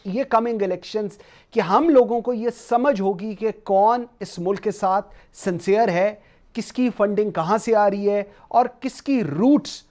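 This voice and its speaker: High-voiced Pakistani man hosting political talk. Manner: switches between Urdu and English phrases. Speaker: talk show host. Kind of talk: political talk show moderation and commentary.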